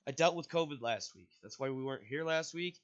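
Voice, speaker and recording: A man's voice: mid-range voice, expressive, a little fast. A man with a stuffy, congested voice, hosting and narrating a tabletop game as game master in a rambling, casual way. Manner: rambling, casual, with frequent restarts. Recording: dull, lo-fi voice chat audio.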